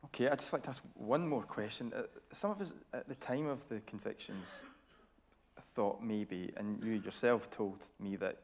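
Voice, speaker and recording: A man interviewing on stage in a measured, probing way. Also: fairly low pitch, slight background noise. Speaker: interviewer, TV reporter. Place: radio theatre stage.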